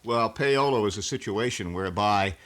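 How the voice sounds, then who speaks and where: A middle-aged man explaining things in a measured, slightly formal way on a 1970s radio talk show. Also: on the low side, a bit bright. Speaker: radio station host being interviewed. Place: radio studio. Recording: 1970s radio broadcast recording.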